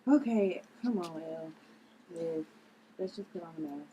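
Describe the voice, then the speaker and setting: A woman's voice: lowish voice, normal speed, mellow, slight background noise, natural voice, rough recording. A woman at a table read playing a brash, bossy, street-talking vigilante sister. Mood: determined.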